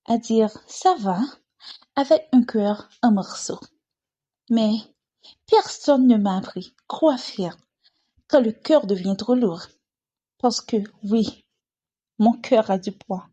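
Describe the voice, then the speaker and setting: Slow, husky woman performing a dramatic monologue. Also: sits high, warm tone, highs cut off. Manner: slow, deliberate delivery with short, weighted phrases. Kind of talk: dramatic spoken-word monologue.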